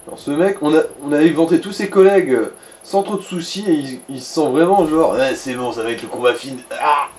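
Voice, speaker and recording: A man's voice: on the high side, fairly quick, darkish tone. A man co-hosting a let's play, chatting casually and with crude banter over the gameplay. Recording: roomy echo, music behind.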